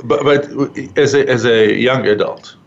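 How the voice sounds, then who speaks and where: A male talk show host with a non-native English accent, interviewing a guest in a chatty, halting way with many fillers and restarts. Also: medium pitch. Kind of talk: interview hosting. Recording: dull lo-fi sound.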